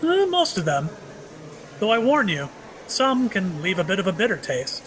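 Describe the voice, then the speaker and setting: A man's voice: sits high, huge pitch swings, normal speed, fairly bright tone, a little hiss or hum, full character voice, poor audio. A man playing a mysterious, ageless shopkeeper in an audio drama, knowing and slyly wry, dropping cryptic, teasing hints. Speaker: actor in an audio play.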